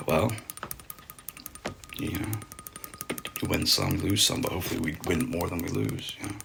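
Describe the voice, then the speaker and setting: A man's voice: fairly even intonation, normal speed, gravelly, music in the background. A young man streaming live ASMR, chatting casually with viewers in laid-back slang and thanking donors, sometimes dropping to a whisper. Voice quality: describes his voice as medium deep, sometimes deeper.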